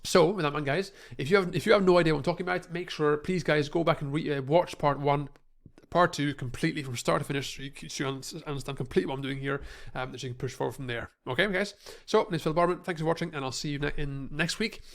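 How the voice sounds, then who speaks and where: Thirties male online coach, slightly stuffy-nosed, gravelly, loose rambling delivery full of fillers, desk mic. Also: on the high side, fast talker. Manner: repetitive, lots of fillers and restarts, addresses viewers as guys. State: slightly nasal from a cold. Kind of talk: casual screen-share tutorial. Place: small home office room. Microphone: desk microphone in front of him.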